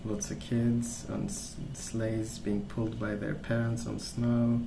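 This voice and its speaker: Slow, low, soft-spoken man with gravelly fry. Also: little pitch movement, noisy background. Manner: hesitant, pausing, unhurried storytelling. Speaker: ASMR host. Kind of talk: soft-spoken ASMR rambling.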